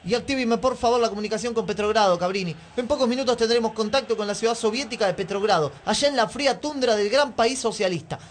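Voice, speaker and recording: A man playing an excitable, florid Argentine football commentator in a radio drama, with grandiloquent delivery. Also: extremely high pitch, fast talker, hardly any pauses, slight background noise. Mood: solemn, florid. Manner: grandiloquent, theatrical.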